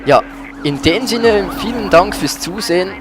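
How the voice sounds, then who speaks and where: A man's voice: sits high, expressive, a little slow, over music. Man casually commentating a video game, often hesitating. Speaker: let's play host.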